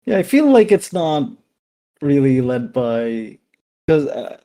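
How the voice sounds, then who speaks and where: Mid-pitched man, halting casual game player. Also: sing-song, mellow. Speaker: player in a tabletop role-playing game. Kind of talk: casual improvised game discussion.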